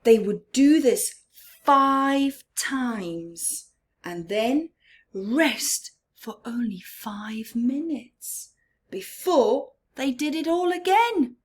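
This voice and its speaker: A woman reads a children's story aloud at a very slow pace in a fairly high voice. She leans on key words with dramatic emphasis and stretches them out. Her delivery is expressive, dipping into hushed asides for young listeners. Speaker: storyteller reading a picture book to children.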